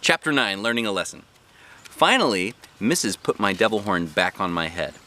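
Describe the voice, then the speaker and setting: A man reading a children's book aloud as a dad, with expressive, animated narration and put-on character voices. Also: fairly low pitch, moderate pace.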